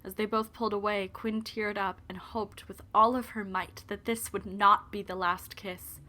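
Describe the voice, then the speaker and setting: A woman's voice: mid-range voice, level tone, moderate pace, pushed and bright. A young woman giving scripted narration for an audio drama about characters, as the storyteller. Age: young adult.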